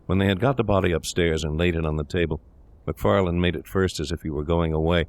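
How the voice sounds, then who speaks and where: A man's voice: low-pitched, quick pace, darkish tone, no room sound. A man reading scripted literary narration as the narrator of a gothic tale. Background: eerie ambience.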